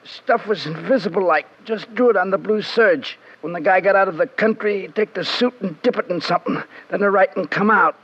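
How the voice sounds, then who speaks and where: An actor playing a whiny small-time crook with slangy grammar in a vintage radio crime drama. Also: male voice, high voice, brisk, hardly any pauses, a bit bright. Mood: grudging confession. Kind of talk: 1940s-50s radio crime drama acting. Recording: muffled vintage radio recording.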